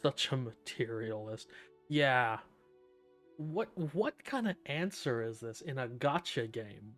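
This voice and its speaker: A man's voice: mid-range voice, very animated, slow, a bit bright. A man streaming a game, giving casual live commentary in a wry, offhand way. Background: game music.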